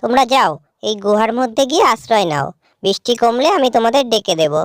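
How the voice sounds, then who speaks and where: A woman's voice: a little high, lively intonation, fast talker, dry recording, clean studio sound, natural voice. A woman voicing a brave, helpful young village girl in a cartoon story, speaking in an earnest, simple way. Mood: calm, helpful.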